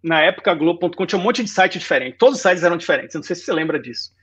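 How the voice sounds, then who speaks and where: Um homem na casa dos quarenta com sotaque baiano misturado com carioca, contando sua trajetória numa conversa descontraída, com muitos "né?". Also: male voice, on the high side, racing, husky, muffled recording. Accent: Bahian Portuguese mixed with Rio. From Salvador, Bahia, Brazil. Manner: relaxed storytelling, frequent "né?". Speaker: guest designer. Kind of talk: casual interview chat.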